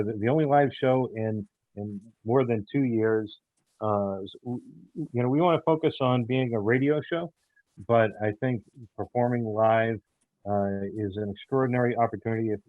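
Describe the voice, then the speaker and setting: A man giving casual, measured, halting answers in an interview, over thin phone-like audio. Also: on the low side, no echo. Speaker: show writer.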